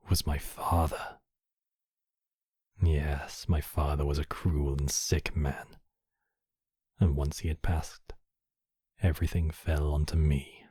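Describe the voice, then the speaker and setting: Casting: male actor as demon king, extremely low husky register, possessive yet compassionate, regal formal delivery for ASMR roleplay. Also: a little mellow, no room sound, studio quality, exaggerated voice. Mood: grave, earnest. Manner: slow, regal, formal phrasing. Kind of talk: intimate ASMR roleplay acting.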